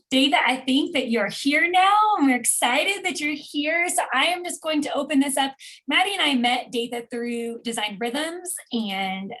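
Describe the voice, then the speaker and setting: A woman's voice: a little high, a bit bright. Upbeat woman introducing a guest. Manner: upbeat, gushing. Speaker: host. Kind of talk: introducing a guest on a video call.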